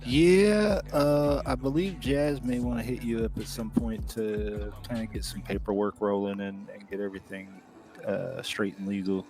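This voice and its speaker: Low-voiced, agreeable county businessman played by a man. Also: fairly even intonation, normal speed, music in the background, close to an everyday voice. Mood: businesslike. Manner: courteous, agreeable, casual. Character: agreeable male county business operator, respectful and easygoing. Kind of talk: improvised in-character role-play.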